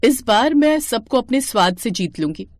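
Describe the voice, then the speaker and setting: A woman's voice: a little high, very animated, moderate pace, no echo, studio quality. A woman voicing a sweet, dutiful young Indian daughter-in-law in a cartoon story, polite and earnest. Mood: reassuring, determined.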